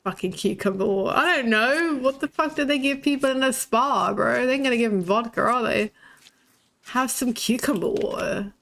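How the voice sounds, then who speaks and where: A woman's voice: a little high, moderate pace, gravelly, fairly bright tone. A young woman with a lisp, chatting bluntly and swearing while streaming a game. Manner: blunt and sweary, laughs often. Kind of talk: casual chat while playing a shooter game.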